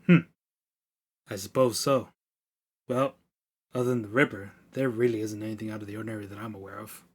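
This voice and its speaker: A man playing a gruff, brusque Victorian police inspector in an audio drama, with music behind him. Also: lowish voice, fairly even intonation, brisk, close to an everyday voice. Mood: dry, measured. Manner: brusque, dismissive. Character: gruff, impatient, bigoted male Victorian London police inspector.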